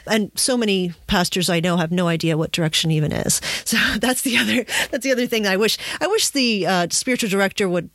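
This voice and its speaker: A woman's voice: mid-range voice, a little fast, few pauses, fairly bright tone, no echo. Woman chatting in a close, dry recording. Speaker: podcast host and spiritual director. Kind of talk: conversational interview.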